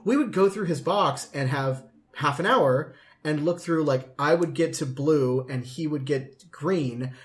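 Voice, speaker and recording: A man's voice: on the high side, measured pace. A man in his late twenties chatting casually as a podcast host, telling rambling anecdotes. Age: late 20s.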